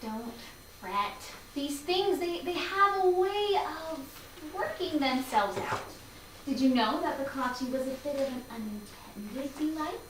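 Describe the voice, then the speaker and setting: A woman's voice: high voice, expressive, a little slow. A woman acting in a staged play reading as a playful, teasing young enthusiast who coaxes and persuades emphatically. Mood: teasing, reassuring. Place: live staged reading in a room. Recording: very echoey, distant mic, faint noise.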